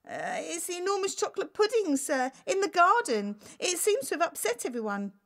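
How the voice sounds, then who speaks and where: A nervous palace butler from a storybook, acted by a woman. He is polite and hesitant, with a voice raised high in deference. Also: sing-song, moderate pace, full character voice.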